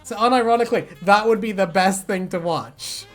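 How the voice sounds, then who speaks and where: A woman co-hosting a casual chat about fan theories, animated, giggly and swearing freely. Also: medium pitch, moderate pace, soft background music. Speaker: video co-host.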